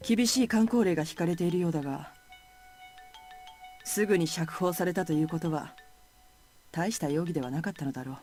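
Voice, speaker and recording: A woman's voice: on the low side, racing, pauses often, a little mellow, faint music behind, lightly acted. An actress playing a stern, composed woman in a visual novel, speaking solemnly and bluntly. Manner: solemn, blunt, matter-of-fact. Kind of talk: Japanese visual novel voice acting.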